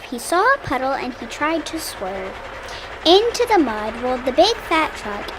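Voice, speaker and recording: Young storybook narrator, very slow and deliberate, high voice, expressive read-aloud style. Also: a little hiss or hum. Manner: expressive, slow, deliberate, acts out animal sounds. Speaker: storybook reader. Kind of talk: animated picture book read-aloud.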